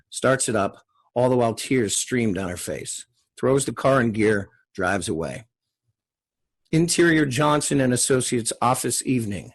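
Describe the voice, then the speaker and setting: A man's voice: medium pitch, husky. A man narrating screen directions as a reader at a TV drama table read.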